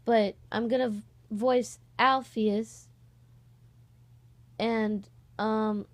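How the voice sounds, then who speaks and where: A young woman with a fairly high voice speaks in an informal vlog style. She rambles along hesitantly, stopping to correct herself and picking the thought back up as she asks her followers to take part. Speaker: online voice-acting channel host. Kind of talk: casual vlog, audition call.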